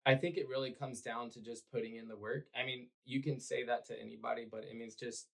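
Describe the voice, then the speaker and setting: A man, hoarse and raspy from cheering, talking earnestly about his work as a podcast guest with lots of fillers. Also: medium pitch, fairly even intonation, fast, fairly bright tone, poor audio. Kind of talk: conversational podcast interview.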